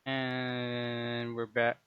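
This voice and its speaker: A man's voice: mid-range voice, completely flat delivery, extremely slow, smooth, no echo, lo-fi sound. A man casually chatting with his viewers and a guest while he streams a game, keeping his replies short and laid-back. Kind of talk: casual chat while gaming.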